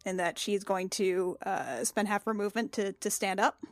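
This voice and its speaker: A woman's voice: mid-range voice, normal speed, dry recording. A woman playing a tabletop role-playing game, chatting casually with an irreverent, sweary manner.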